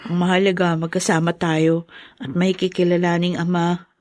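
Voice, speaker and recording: A woman's voice: fairly low pitch, little pitch movement, normal speed, darkish tone, dry recording, studio quality, lightly acted. A woman acting a devoted, emotional young college girl, earnest in the manner of Tagalog radio drama. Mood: soft, tender. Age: young adult.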